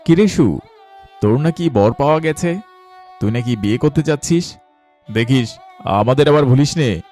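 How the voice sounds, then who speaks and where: A man playing a male villager in a cartoon story, speaking in a lively, colloquial way. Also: medium pitch, normal speed, natural voice. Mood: teasing. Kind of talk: cartoon character dialogue. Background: instrumental music.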